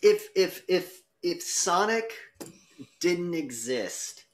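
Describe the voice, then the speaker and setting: A man's voice: high voice, very animated, very slow, slight background noise. A man moderating a podcast debate in a casual, conversational way, posing questions.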